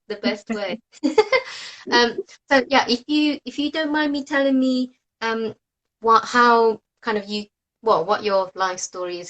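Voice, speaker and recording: A woman's voice: medium pitch, a bit bright, highs cut off. A Japanese woman in her thirties, a native Japanese speaker and oboist, hosting a casual online interview in a friendly way with frequent laughter. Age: 30s. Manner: friendly, laughs often, quick to praise. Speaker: host, oboist. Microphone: video call.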